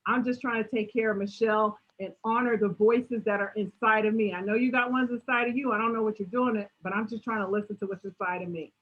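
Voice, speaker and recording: A woman with a strong, fairly high voice and a husky edge speaks in an emphatic, animated way as she answers audience questions in a motivational session. The audio is dull and lo-fi, like an online call. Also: fast, few pauses. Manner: emphatic, animated, repeats "right?". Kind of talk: motivational Q&A talk.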